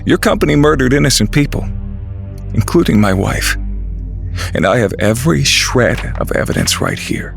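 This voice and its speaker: A man playing an earnest, dogged TV news reporter in an audio drama, speaking in a deliberate, pointed way. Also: mid-range voice, sing-song, gravelly, faint music behind. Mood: accusing. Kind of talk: scripted audio drama acting.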